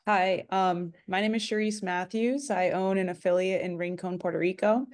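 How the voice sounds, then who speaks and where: A woman's voice: fairly low pitch, steady tone, moderate pace. A woman from New Jersey, a gym owner, speaking politely and sincerely as a participant on a group call. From New Jersey, USA. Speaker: gym owner asking a question. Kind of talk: introducing herself and asking on a group call.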